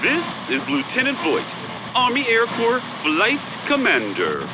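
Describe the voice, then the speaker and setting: A man's voice: very high voice, huge pitch swings, a little slow, heavily acted. Guy doing cocky flirty pilot officer, very swoopy, noisy muffled plane radio. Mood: official. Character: flirtatious, swaggering air corps lieutenant. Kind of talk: comedic radio drama acting. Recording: dull, noisy aircraft radio.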